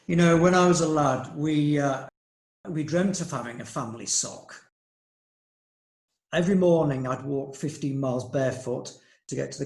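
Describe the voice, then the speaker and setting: An actor playing a doddery, hard-of-hearing old magistrate in a comic radio play, pompous and stammering. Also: male voice, medium pitch, creaky, a little mellow. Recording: roomy, echoing sound.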